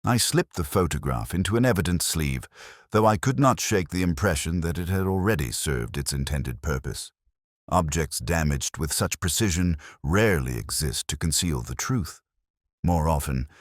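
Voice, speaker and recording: Male narrator for detective fiction: extremely low voice, lively intonation, measured literary delivery, close dry sound. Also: no room sound. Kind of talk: scripted first-person detective story narration.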